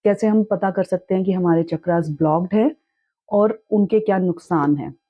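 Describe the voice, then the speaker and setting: A young woman teaching in a calm, reassuring way, with a sore throat that makes her clear it often. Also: fairly low pitch, normal speed, no echo, highs cut off. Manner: calm, reassuring, mixes English words into her talk. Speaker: chakra healing teacher. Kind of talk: casual spoken tutorial.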